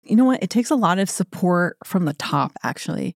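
American-accent woman, thirties, lowish voice, chatty founder talk, dry close mic. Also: very animated, a little fast, vocal fry, no room sound. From South Korea. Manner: upbeat, conversational, frequent "like" fillers. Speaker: guest, company founder. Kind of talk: interview conversation.

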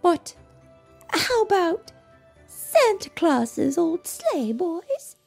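A woman's voice: extremely high pitch, very animated, extremely slow, creaky, darkish tone, soft background music, pitched above the performer's own voice, heavily acted. A woman voicing a kindly, hospitable old woman from a Christmas storybook, welcoming and motherly. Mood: helpful. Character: kindly, hospitable old Mrs. Santa Claus.

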